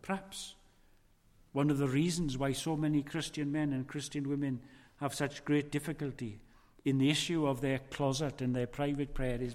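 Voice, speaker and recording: A male preacher speaks in a mid-range voice, deliberate and solemn. His phrases often sink into near-whispers for emphasis. The sound rings with the long echo of a church hall. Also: medium pitch, darkish tone. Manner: slow, weighty preaching with dramatic drops in volume. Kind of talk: sermon.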